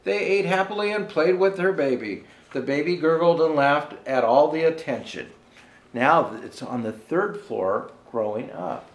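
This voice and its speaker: A man reading a children's storybook aloud as Santa, reading haltingly and pausing for asides to show the pictures. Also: a little high, animated, reverberant. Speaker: storyteller presenting as Santa Claus.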